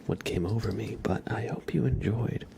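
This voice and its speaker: A man with a very low, creaky voice hosts a casual ASMR stream. He speaks softly and often drops into a whisper, with clear noise in the recording. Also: normal speed. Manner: hushed, often slipping into whispers. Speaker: ASMR livestream host. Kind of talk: casual soft-spoken ASMR stream chat.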